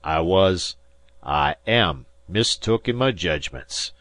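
A man puts on a grudging old schooner captain who drags each admission out in a low, rustic drawl. The delivery is slow and dry, with the voice kept down in its lower range. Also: expressive, a bit bright, no echo, heavily acted.

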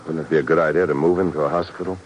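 An actor playing a cool, skeptical investigator in a 1950s radio drama, dry and unruffled. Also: male voice, rumbling low, steady tone, very fast, dull audio. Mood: cool, probing. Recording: noisy old radio broadcast recording.

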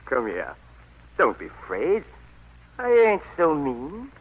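An actor playing a cocky young country drifter in a 1940s radio drama. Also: male voice, a little high, huge pitch swings, slow, slightly rough, full character voice, poor audio. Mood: cocky wooing. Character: swaggering, menacing young country drifter turned suitor. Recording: vintage 1940s radio broadcast.

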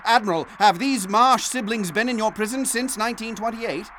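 A high-pitched 1930s-style newsman addresses listeners formally. His delivery is earnest and theatrical, in classic radio drama bulletin manner. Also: normal speed, a bit bright, slight background noise. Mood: excited, incredulous. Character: earnest, dramatic 1930s-era male radio news anchor. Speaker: news anchor. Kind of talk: vintage radio news drama acting.

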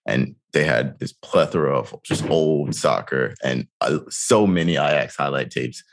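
A man with an exceptionally deep, low voice talks over a casual chat. He is in his thirties and halting, often restarting his sentences and leaning on fillers. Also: vocal fry. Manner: hesitant, frequent restarts and fillers. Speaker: podcast co-host.

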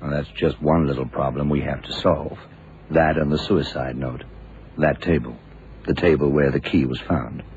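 An actor playing a shrewd, calm, self-assured detective in an old radio drama, speaking in a deliberate, probing, emphatic way. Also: male voice, rumbling low. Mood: confident deduction. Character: shrewd, methodical male detective, calm and sure of himself. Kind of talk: 1970s radio drama acting. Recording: dull, noisy old radio recording.

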